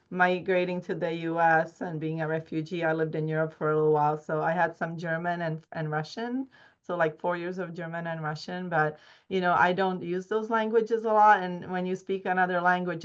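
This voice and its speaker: Czech-born woman presenting, low voice, lots of "you know"s, kinda muffled audio. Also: clean tone. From Czech Republic. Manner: earnest, many "you know" fillers and restarts. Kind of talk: research presentation. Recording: dull lo-fi recording.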